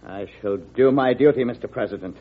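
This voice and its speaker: An actor playing a stiff, stubborn, anxious desk general in an old-time radio drama, speaking in formal, clipped phrases. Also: male voice, mid-range voice, fairly quick, slightly rough, close to an everyday voice. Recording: dull, muffled old radio recording.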